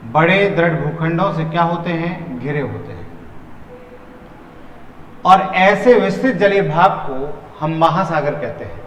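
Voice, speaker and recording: A man's voice: on the high side, a bit bright, a little hiss or hum. A man teaching in an exam-prep lecture style, repeating phrases and asking questions that he answers himself. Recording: echoey hall-like room reverb.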